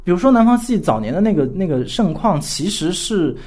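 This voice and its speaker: A man's voice: high voice, big pitch swings, brisk, darkish tone. A man speaking as a guest commentator in an analytical discussion, reflective and rephrasing as he builds his argument step by step. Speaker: guest commentator, journalist and editor.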